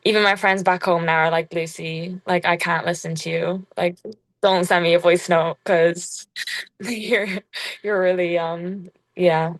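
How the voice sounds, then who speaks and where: A young woman whose Irish accent has turned strongly American talks in a low, flat voice. She chats casually and haltingly, leans on fillers, and laughs easily. The sound is dry and close. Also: no echo. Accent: Irish with a strong American tinge. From Ireland. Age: young adult. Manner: relaxed, halting, full of "like" fillers, laughs often. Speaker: college basketball player guest. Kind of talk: casual interview chat.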